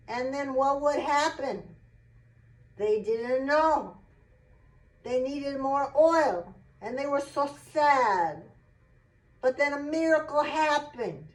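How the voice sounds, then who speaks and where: A woman voicing a gentle puppet storyteller who explains simply and asks a child questions, recorded at a distance from the mic. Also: sits high.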